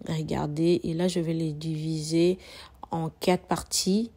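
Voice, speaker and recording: A woman with a cold narrates her home cooking, her voice hoarse and fairly low. She speaks in a flat, even tone, chatty and loose, repeating words and dropping in fillers as she works. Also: unhurried. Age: adult mother. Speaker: cooking channel host. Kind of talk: casual cooking tutorial narration.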